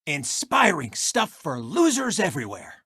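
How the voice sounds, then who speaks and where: A man's voice: fairly high pitch, huge pitch swings, measured pace, bright, forceful tone, husky, dry recording, studio quality, exaggerated voice. A man voicing a cocky, egotistical race car who hosts a TV racing show, with boastful, mocking showboat delivery in a video game. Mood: mocking scorn. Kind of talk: animated video game sportscast patter.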